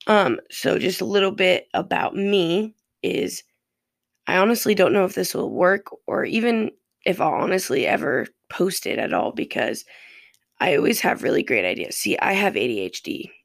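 Raspy kinda deep voice, podcast host from ohio rambling and cussing, pitch all over the place. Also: big pitch swings, moderate pace, no room sound, studio quality. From Ohio, USA. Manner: loose and profane, drifting between topics. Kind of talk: rambling casual monologue.

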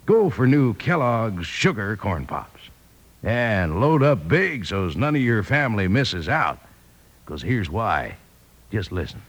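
A man's voice: medium pitch, a bit bright, slightly rough. A man delivering a 1950s radio commercial as a folksy, cowboy-style salesman. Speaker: cereal advertisement pitchman.

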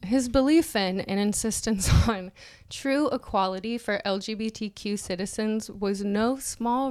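A woman's voice: a little high, clean tone. A woman co-hosting a podcast, doing a mock-dramatic reading of an article and laughing through her lines. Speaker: podcast co-host.

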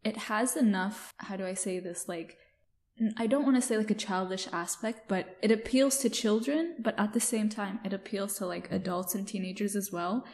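A woman's voice: medium pitch, moderate pace, a little mellow. A young woman hosting a book podcast, chatting casually with a friend and filling her talk with "like". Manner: chatty, lots of "like" fillers. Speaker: podcast host. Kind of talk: casual chat.

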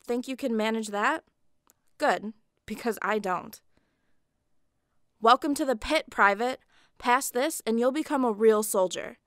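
A woman's voice: on the high side, a little slow, long pauses, a bit bright, dry recording, clean studio sound. A woman playing a sarcastic, bossy military training instructor in a video game, in a comic audio drama. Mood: sardonic. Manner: sardonic, bossy, condescending.

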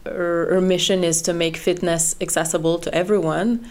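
A woman's voice: lowish voice, steady tone, normal speed, a little mellow. A middle-aged woman from French Canada with a French Canadian accent, speaking English as a guest with many fillers and repeated words. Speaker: head of HR, guest. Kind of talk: interview chat.

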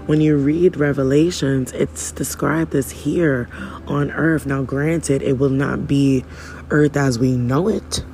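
Hoarse, rambling man with a dark voice. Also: medium pitch, steady tone, takes it slowly, a little hiss or hum. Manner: rambling, preachy, casual slang. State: losing his voice. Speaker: podcaster. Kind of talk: spiritual monologue.

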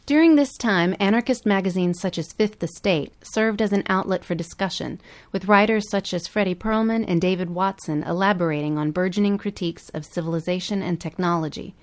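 Low-pitched woman narrating. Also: brisk. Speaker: podcast co-host. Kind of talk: scripted documentary narration.

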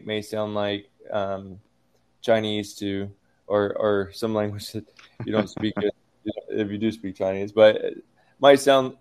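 Smooth, low-voiced developer guest. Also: male voice, darkish tone. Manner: halting technical explanation with many ums. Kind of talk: casual podcast chat.

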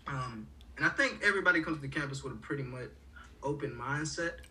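Young guy from haiti rambling casually, lots of "like" and restarts, bright voice, kinda far from the mic. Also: a little high, lively intonation, brisk. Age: young adult. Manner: rambling, many fillers and restarts. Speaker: college student guest. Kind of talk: casual conversation.

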